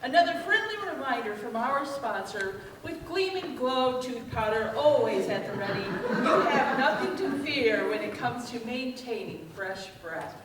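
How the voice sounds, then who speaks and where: Woman as high-voiced radio announcer, echoey. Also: big pitch swings, a little slow, over a noisy crowd. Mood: upbeat. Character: chipper radio announcer pitching tooth powder. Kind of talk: old-time radio advertising. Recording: echoey, distant mics, audience noise.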